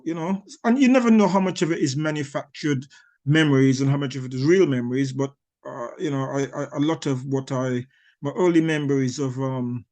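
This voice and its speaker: A man's voice: a little high, a little mellow. A man in his sixties, born in Barbados, answering interview questions as an author, rambling with many restarts and small chuckles. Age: 60s.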